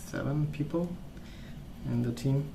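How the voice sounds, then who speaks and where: A man speaking softly as an ASMR host, rambling through stories in a hesitant, pausing, unhurried way. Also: fairly high pitch, gravelly, slight background noise, rough recording. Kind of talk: soft-spoken ASMR rambling.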